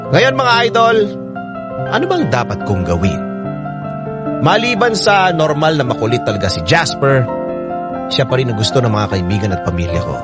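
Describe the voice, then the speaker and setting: Animated Filipino man reading a letter. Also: medium pitch. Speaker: radio drama narrator reading a letter. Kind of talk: dramatic scripted letter reading.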